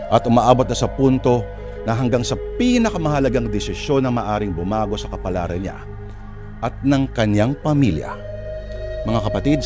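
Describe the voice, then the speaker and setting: A man with a Filipino accent hosting and narrating a scripted radio drama, addressing listeners as a dramatic storyteller. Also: medium pitch. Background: music.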